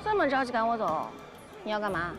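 A young actress playing a lively, scheming peasant girl in a period drama, playful and coy in her teasing. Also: on the high side, fast talker. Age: young adult. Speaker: lead actress. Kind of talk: television period drama acting. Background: music score.

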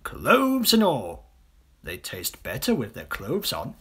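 A huge, greedy crocodile from a children's storybook, acted out by a reader. He talks very slowly and relishes each word with sly, gloating menace. The voice is a little husky and swings in pitch. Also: huge pitch swings, a bit bright, exaggerated voice. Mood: gloating, menacing. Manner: slow, drawn-out, relishing words. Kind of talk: theatrical children's storybook character voice.

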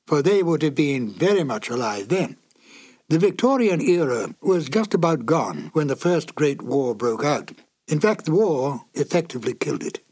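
A man hosting and introducing a reissued old radio drama, in measured, explanatory scripted narration. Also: on the high side, darkish tone.

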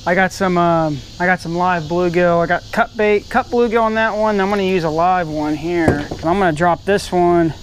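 A man's voice: high voice, normal speed, hardly any pauses, a little hiss or hum. A man hosting a fishing video, chatting casually on a creek dock while he fishes. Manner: relaxed, laid-back, says dude. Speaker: fishing channel host. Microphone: head-mounted action camera.

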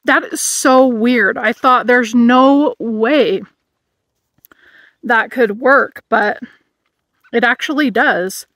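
Chatty lady vlogging out in a meadow, thinking out loud, bit high pitched, no room echo. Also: takes it slowly, pauses often. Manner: chatty, musing aloud while eating. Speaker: outdoor gear reviewer. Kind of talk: casual product review vlog. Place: outdoors in a grassy meadow.

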